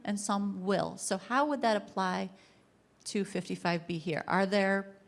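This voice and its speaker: A woman who works with high school students, asking earnest, careful questions from a workshop audience. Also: measured pace, vocal fry.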